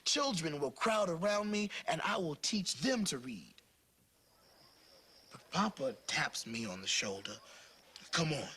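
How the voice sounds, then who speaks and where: A man narrating a storybook aloud in an expressive, dramatic way with varied phrasing. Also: fairly high pitch, measured pace, long pauses. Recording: clear music playing behind the voice.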